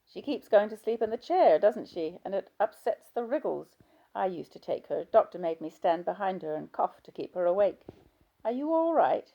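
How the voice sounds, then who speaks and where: A woman voicing a chatty, gossipy nurse who talks briskly. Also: medium pitch, very animated, vocal fry, highs cut off, voice pushed up. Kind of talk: audiobook character voice.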